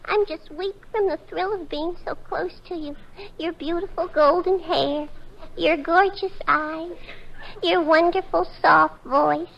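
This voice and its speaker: An actress playing a starstruck apartment maid who gushes melodramatically in a 1940s radio comedy. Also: female voice, very high voice, steady tone, a little slow, gravelly, full character voice. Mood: swooning. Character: swooning young apartment maid, breathlessly starstruck. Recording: noisy, dull 1940s radio recording.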